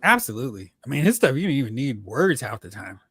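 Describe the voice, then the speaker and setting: A man's voice: mid-range voice, very animated, quick pace, a bit bright, breathy edge, dry recording. A man hosting a live talk stream, chatting casually and giving fan commentary. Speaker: host of a live talk stream on movies and comics.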